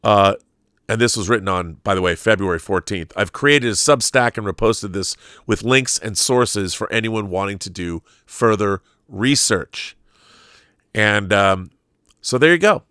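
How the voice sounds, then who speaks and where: An American man hosting a live show, talking conversationally with halting repeats and emphatic stresses as he reads aloud and riffs. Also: on the low side, bright, forceful tone, no echo, clean studio sound. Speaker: show host reading viewer questions live. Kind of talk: conversational live-stream commentary, reading aloud and riffing.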